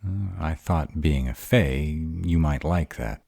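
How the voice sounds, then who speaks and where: A man's voice: rumbling low, takes it slowly, creaky, warm tone, no room sound, clean studio sound, heavily acted. A man playing a grumpy, insecure were-dragon in a romantic roleplay audio drama, hesitant and defensive as he courts his mate. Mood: shy, relieved. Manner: hesitant, defensive, self-doubting.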